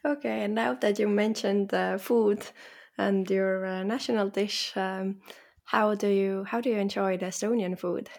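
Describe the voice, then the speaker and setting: A woman works as an interviewer in a conversational style. Her voice sits at a middling pitch with a clear creak of vocal fry running through it. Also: steady tone, a little slow, darkish tone. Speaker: interviewer, host.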